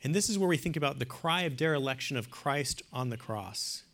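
Man Lecturer, mid-pitched voice, earnest, emphatic, dips hushed then rises loud. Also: expressive, fairly quick. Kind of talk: classroom lecture on theology and poetry.

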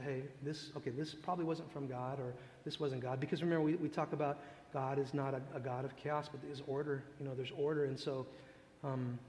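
A man whose native language is Tagalog gives informal religious teaching, thinking aloud in a reflective, halting way. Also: mid-range voice, a little mellow. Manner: reflective, halting, searching for words. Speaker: speaker leading a worship teaching session. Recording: echoey hall, long reverb, background noise.

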